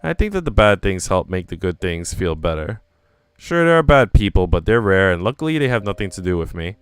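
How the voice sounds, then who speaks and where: A man's voice: low-pitched, sing-song, brisk, smooth, no room sound, clean studio sound. A man voicing an anxious young woman who narrates her troubles in a visual novel, dramatic and self-questioning. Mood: reflective. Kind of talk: visual novel first-person reading.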